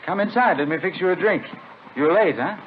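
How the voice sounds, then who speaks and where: A man's voice: high voice, lively intonation, a little fast. An actor playing a welcoming, polite new father hosting a party in a 1950s radio drama. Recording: tinny, noisy old radio recording.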